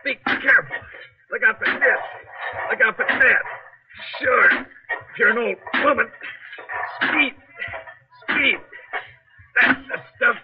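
Casting: cocky young labourer son, high male voice, impatient slangy delivery, dull vintage radio sound with loud music. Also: takes it slowly, long pauses. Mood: cocky, mocking. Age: young adult. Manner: brash, slangy. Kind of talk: 1940s radio acting. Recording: muffled 1940s radio recording.

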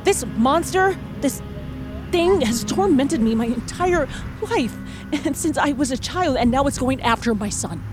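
A woman's voice: high voice, expressive, unhurried. Haunted, determined mother. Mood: indignant pleading. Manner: earnest, emotional. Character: haunted, determined mother, desperate yet defiant. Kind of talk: audio fiction acting with first-person narration. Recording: music behind the voice.

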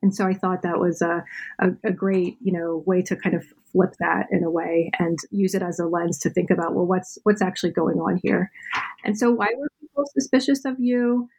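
Woman, interviewer, husky voice, lowish pitch, conversational and hedging. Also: fairly quick, a little mellow, poor audio. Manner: conversational, hedging with "you know" and "kind of". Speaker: interviewer, podcast host. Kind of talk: academic interview questions.

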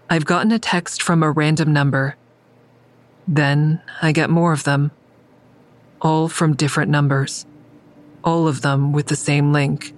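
A woman playing a troubled woman who tells her own story in scripted first-person audio drama narration. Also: deep voice.

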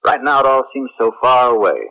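A man's voice: mid-range voice, a little fast, sharp tone, lightly acted. A man acting a confident, strict military spaceship captain in a 1970s radio drama, commanding and self-assured. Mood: awed, expansive. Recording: muffled 1970s radio drama recording, faint noise.

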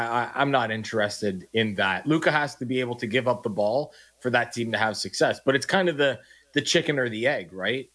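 Rambling sports radio co-host. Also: male voice, medium pitch, pushed and bright. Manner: rambling, restarts sentences, acts out quoted reactions.

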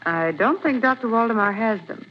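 A woman playing a lively, inquisitive assistant. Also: fairly high pitch, moderate pace, close to an everyday voice. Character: young female detective's assistant, lively. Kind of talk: 1940s radio acting. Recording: dull lo-fi 1940s radio recording with hiss.

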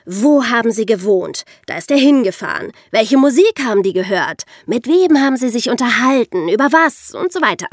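A woman's voice: a little high, lively intonation, moderate pace, hardly any pauses, no room sound, studio quality. A woman hosting a podcast, explaining things in a storytelling way with rhetorical questions. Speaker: podcast host.

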